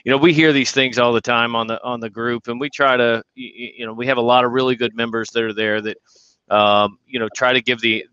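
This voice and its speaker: Bright, crisp lower-voiced man, dry sound. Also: steady tone, dry recording. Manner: appreciative, halting with many fillers. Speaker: owner group host. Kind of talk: video call interview. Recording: dry, dull video call audio.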